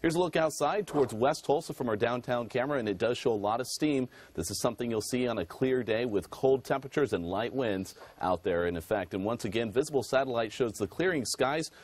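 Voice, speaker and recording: A man's voice: mid-range voice, lively intonation, brisk, hardly any pauses, no echo. A man delivering a TV weather forecast in a conversational, easygoing way. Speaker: meteorologist.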